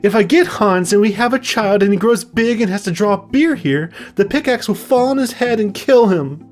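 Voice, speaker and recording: Man putting on a high, wailing foolish young woman. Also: animated, normal speed, faint music behind. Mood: tearful pleading. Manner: wailing, plaintive, overwrought. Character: foolish, tearful young woman, anxious and dramatic. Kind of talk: storybook character voice.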